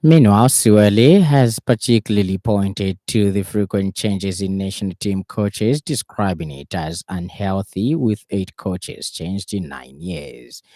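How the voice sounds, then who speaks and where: Zambian guy reading the radio news, lowish soft-edged voice, dry studio sound. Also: a little slow, hardly any pauses, no echo. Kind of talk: scripted news bulletin reading.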